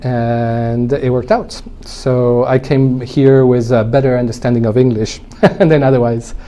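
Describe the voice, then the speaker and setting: A man with a French accent talks through his past in a mellow, dark-toned voice, pausing with frequent fillers. His delivery is unhurried and good-humored, easing into a laugh now and then. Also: mid-range voice, flat delivery. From born in El Salvador, raised abroad. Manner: hesitant, many fillers, laughs easily. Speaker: winemaker guest. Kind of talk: casual interview storytelling.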